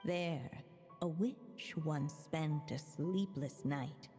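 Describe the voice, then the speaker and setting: Slow, solemn, mystical storyteller. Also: female voice, deep voice, dark tone. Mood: solemn, mysterious. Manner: solemn, measured, poetic. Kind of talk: anime game riddle narration. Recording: long hall reverb with music.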